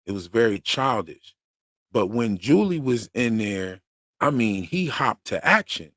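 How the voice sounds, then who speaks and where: A man hosting a live stream, chatting casually with slang and long rambles, his voice going hoarse. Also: fairly low pitch, very animated, measured pace, a bit bright, no room sound, clean studio sound. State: losing his voice. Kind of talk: casual fan commentary.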